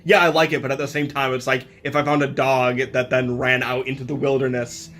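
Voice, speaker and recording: A man's voice: mid-range voice, flat delivery, brisk, fairly bright tone. A man playing a tabletop game, chatting casually and jokingly with friends. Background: faint ambient music.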